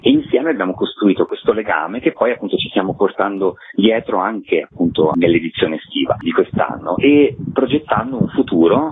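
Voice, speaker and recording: A man's voice: low-pitched, slightly rough. A man explaining things at length in a radio interview as an event organiser, leaning on a repeated filler word. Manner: wordy, repeats filler "appunto". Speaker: theater festival organiser being interviewed. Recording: muffled narrow phone line on radio.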